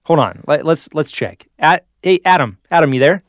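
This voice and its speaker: A man's voice: fairly high pitch, animated, normal speed, dry recording. A man co-hosting a filmmaking podcast with informal, bantering talk, recorded in a studio. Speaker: podcast co-host.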